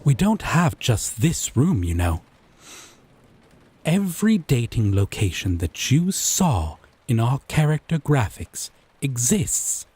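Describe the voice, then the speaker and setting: A man playing a gentle, obsessive, possessive lover in an intimate romantic roleplay, speaking haltingly and tenderly. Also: mid-range voice, big pitch swings, full character voice. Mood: eager, affectionate. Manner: slow, halting, tender, breathy pauses. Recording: rain and thunder ambience behind. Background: rain, thunderstorm.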